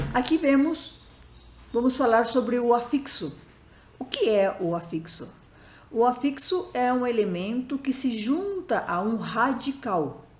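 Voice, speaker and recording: A woman teaching a language class online, explaining grammar to students in a didactic, deliberate way with frequent pauses between phrases. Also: fairly high pitch, a little mellow, reverberant.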